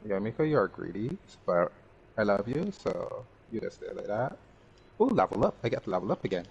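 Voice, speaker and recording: Low-voiced man streaming, slightly muffled mic. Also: big pitch swings, moderate pace, vocal fry, breathy edge. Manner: talks to himself and the game characters. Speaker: gaming streamer. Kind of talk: casual gameplay commentary. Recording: band-limited mic with faint background noise.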